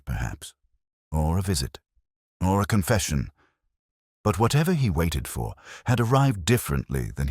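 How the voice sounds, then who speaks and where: Very deep-voiced man narrating a mystery. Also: no room sound, studio quality. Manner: measured, reflective, literary phrasing. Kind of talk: scripted first-person audio drama narration.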